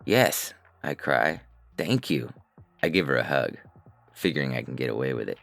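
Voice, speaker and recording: A man's voice: extremely low pitch, expressive, moderate pace, vocal fry, fairly bright tone. A man reading scripted first-person science fiction in a wry, conversational storytelling way. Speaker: narrator and reader.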